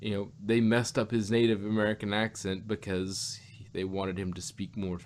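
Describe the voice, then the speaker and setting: A man's voice: lowish voice, level tone, normal speed, a little mellow, slight background noise. A man co-hosting a casual review podcast, rambling and often rephrasing as he goes. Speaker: podcast co-host reviewing audio drama. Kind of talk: casual chat.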